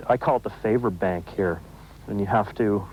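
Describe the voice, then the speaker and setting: A man's voice: lowish voice, moderate pace, lo-fi sound, a little hiss or hum. A confident young man in his twenties, an entrepreneur, answering an interview. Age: 20s.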